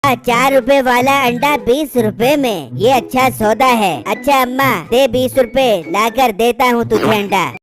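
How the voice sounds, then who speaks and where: A woman's voice: a little high, measured pace, few pauses, faint music behind, voice pushed down, lightly acted. A woman voicing a lazy, greedy young village husband in a cartoon, with a brash, grumbling manner. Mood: scheming.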